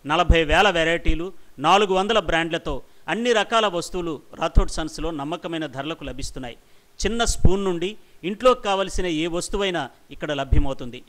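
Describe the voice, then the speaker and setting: A man's voice: a little high, brisk, fairly bright tone. A man reading a scripted news report as a voice-over narrator.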